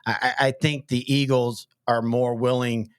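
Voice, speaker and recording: A man's voice: mid-range voice, flat delivery, measured pace, clean tone, no echo, clean studio sound. A man from East Texas, hosting a casual sports podcast, rambling with stammered restarts. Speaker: podcast host.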